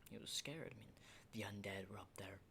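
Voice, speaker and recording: A man playing an unsettling, lonely, hardened apocalypse survivor in an ASMR roleplay, speaking in a halting, breathy whisper and soft voice. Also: fairly low pitch, a little hiss or hum. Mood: haunted, storytelling. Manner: hushed, halting, repeats words, mild swearing.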